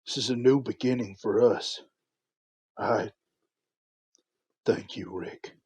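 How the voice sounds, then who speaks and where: A man playing an older, remorseful farmer father, speaking haltingly and earnestly in a motion comic drama. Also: mid-range voice, a little mellow, slightly rough. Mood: solemn, grateful. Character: grieving, remorseful farmer father, humbly thankful.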